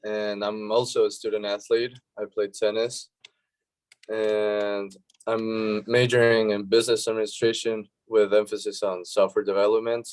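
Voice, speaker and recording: Young man student, low and monotone, brief. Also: moderate pace. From Mexico. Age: young adult. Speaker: student panelist.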